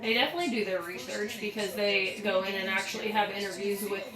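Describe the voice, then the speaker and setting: A woman's voice: mid-range voice, fast talker, a bit bright. A woman co-hosting a show in a casual, conversational commentary style. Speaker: podcast co-host. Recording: echoey room with crowd noise.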